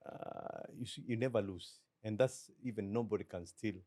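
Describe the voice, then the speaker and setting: A middle-aged Kenyan man with a Kenyan accent, a business guest talking in a measured, reflective way and slipping into Swahili. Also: lowish voice, dark tone, no echo. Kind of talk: relaxed interview talk.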